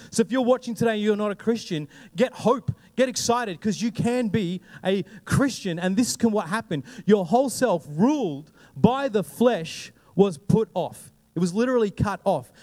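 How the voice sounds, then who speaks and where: High-voiced, animated Australian preacher man. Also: long reverb tail. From Queensland, Australia. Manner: energetic storytelling, asks the congregation questions. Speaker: pastor. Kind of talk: sermon.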